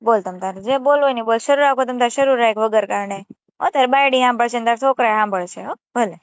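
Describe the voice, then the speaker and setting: Woman, Saurashtra Gujarati accent, high voice, very fast, scolding and assertive, muffled phone recording. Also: no room sound. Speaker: channel creator taking a call. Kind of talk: argumentative phone conversation. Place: phone call.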